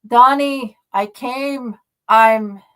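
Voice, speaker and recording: A woman's voice: a little high, extremely slow, fairly bright tone, clean tone, voice pushed up. A woman voicing an injured, desperate man pleading with his friend in a horror story reading. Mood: weak, calling.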